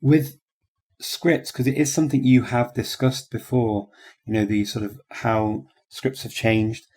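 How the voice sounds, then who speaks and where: A man's voice: medium pitch, measured pace, a little mellow. A male podcast host asking conversational interview questions and giving frequent short backchannels.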